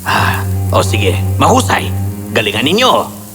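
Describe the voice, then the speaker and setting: A man's voice: on the low side, huge pitch swings, a little slow, exaggerated voice. A man playing a sly, mischievous trickster god in a fairy tale, with theatrical, scheming delivery. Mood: sly, coaxing. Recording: loud background music.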